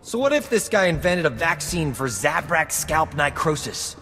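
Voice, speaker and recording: An actor playing a cocky, flirtatious, boastful rogue doctor in a sci-fi video game, glib and smooth-talking. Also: male voice, on the high side, big pitch swings, normal speed, pushed and bright, long reverb tail, faint music behind, full character voice. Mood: indignant, envious. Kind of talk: video game dialogue acting.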